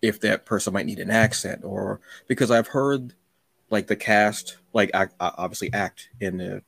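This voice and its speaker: A man's voice: fairly low pitch, steady tone, moderate pace, creaky, a bit bright, breathy edge, no echo. A man hosting a podcast in a casual chat, speaking emphatically and acting out little quoted remarks. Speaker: podcast host.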